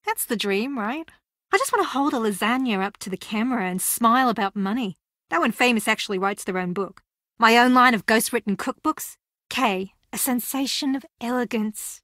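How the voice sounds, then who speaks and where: A woman's voice: fairly high pitch, animated, normal speed, a bit bright, dry recording, studio quality. A woman playing a fame-hungry, dreamy reality show contestant in a video game. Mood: dreamy, eager. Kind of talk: scripted video game voice acting.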